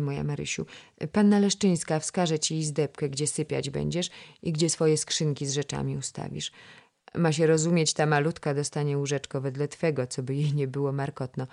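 A woman voicing a middle-aged royal princess who gives calm orders and speaks kindly. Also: low voice, creaky. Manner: calm, commanding yet kind.

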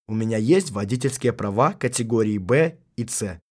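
Husky man reading translations aloud. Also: fairly low pitch, animated, moderate pace, darkish tone. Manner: gives each phrase as a careful spoken translation. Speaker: translation reader. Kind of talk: scripted language-lesson reading.

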